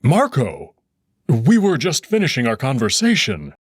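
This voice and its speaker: A man's voice: medium pitch, huge pitch swings, a little slow, breathy edge, no echo, studio quality, full character voice. A man voicing a young male wolf emperor who tries to stay composed and talks defensively when scolded. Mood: polite. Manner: placating, defensive.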